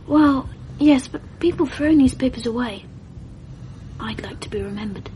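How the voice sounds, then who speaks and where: A woman's voice: high-pitched, moderate pace, dark tone, a little hiss or hum, close to an everyday voice, rough recording. A woman playing a clever, self-assured twelve-year-old English schoolboy who talks in a precocious, wordy, self-deprecating way, in a radio drama. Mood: musing. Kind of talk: 1980s radio drama acting.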